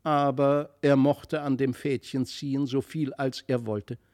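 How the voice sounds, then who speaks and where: A man narrating a literary fairy tale in an animated, carefully phrased way. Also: medium pitch, measured pace, dry recording, clean studio sound. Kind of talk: expressive literary storybook narration. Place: studio.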